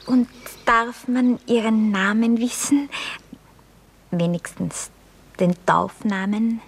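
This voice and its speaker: A woman's voice: on the high side, fairly even intonation, takes it slowly, husky, full character voice. An actress playing a naive, kindly young goose girl with an Austrian accent, simple and wondering, in a Viennese radio comedy. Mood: naive, inquisitive. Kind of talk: classic Viennese stage comedy radio acting.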